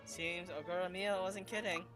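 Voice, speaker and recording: A woman's voice: fairly low pitch, steady tone, normal speed, over loud music. A woman game streamer giving casual live commentary with wry, dry reactions.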